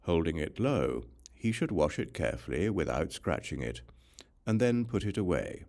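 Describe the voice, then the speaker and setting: A man reading a religious text as an audiobook narrator, in measured, instructional short phrases. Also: sits low, sing-song, creaky, a little mellow.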